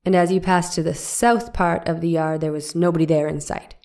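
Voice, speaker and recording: A woman's voice: on the low side, steady tone, a little fast, a little mellow, close to an everyday voice. A woman reading the part of an 1890s attorney cross-examining a witness with pressing, leading questions. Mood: probing. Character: probing 1890s defense attorney. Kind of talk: dramatic reading of a trial transcript.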